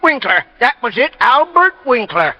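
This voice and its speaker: A man's voice: very high-pitched, very animated, moderate pace, pushed and bright, soft background music, full character voice. An actor playing a folksy, cocksure railroad auction man in a classic radio drama. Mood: recollecting. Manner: folksy, terse, hesitant when recalling. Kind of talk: classic American radio character acting. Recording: dull, muffled old radio recording.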